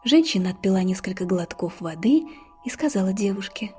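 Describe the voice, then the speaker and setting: A woman narrating a fairy tale in an expressive storytelling manner, with soft breathy asides. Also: mid-range voice, brisk. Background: faint music.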